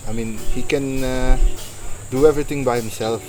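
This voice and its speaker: A man from Indonesia, a musician, talking casually in an interview and often pausing to search for words. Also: medium pitch, fairly even intonation, darkish tone, low-quality recording. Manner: searching for words, many likes and uhs.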